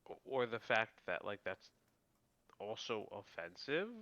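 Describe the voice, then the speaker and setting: Bright-voiced man on a dull, dry mic. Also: fairly low pitch, animated, measured pace, dry recording. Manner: agreeable, hedging, trails off. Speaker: co-host. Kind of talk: casual game commentary.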